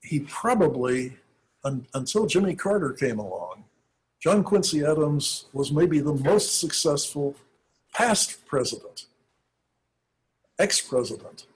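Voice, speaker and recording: A man, a minister, giving an informal talk on church history, thoughtful and halting with many pauses. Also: on the high side, very animated, slightly rough, low-quality recording.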